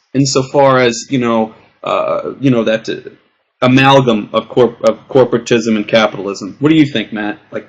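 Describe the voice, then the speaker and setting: Man hosting a lo-fi panel chat. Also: medium pitch. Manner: teasing, wordy questions with filler. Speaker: podcast host. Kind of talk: casual panel discussion. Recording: dull lo-fi sound.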